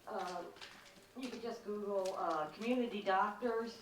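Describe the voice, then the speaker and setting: A woman in the audience giving a quick, enthusiastic public comment at a panel. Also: mid-range voice. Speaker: audience member. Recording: roomy hall, distant mic, faint noise.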